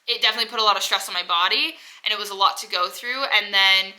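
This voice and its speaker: Woman, 20s, vlogger. Very bright voice, stuffy with a cold, rambling casual delivery full of "like". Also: mid-range voice, quick pace, poor audio. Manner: rambling, heavy use of "like". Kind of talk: casual personal vlog.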